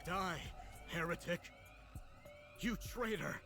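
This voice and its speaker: A woman's voice: lowish voice, slow, music in the background. A woman voicing a desperate, frantic attacker who begs for mercy in a game drama. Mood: enraged. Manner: frantic, pleading.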